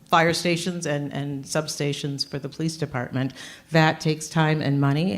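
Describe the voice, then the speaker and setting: A woman candidate answering at a public forum, measured and halting, pausing mid-phrase. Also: deep voice. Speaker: city council candidate.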